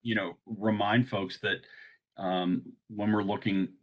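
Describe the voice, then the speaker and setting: A man presenting an informal training on a conference call, speaking in a halting way. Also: lowish voice, little pitch movement.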